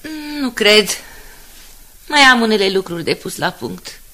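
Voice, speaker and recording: A woman's voice: mid-range voice, animated, bright, forceful tone. An actress playing a discontented, town-loving woman in a radio drama, insistent and scornful of village life. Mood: disdainful. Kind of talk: Romanian radio drama acting.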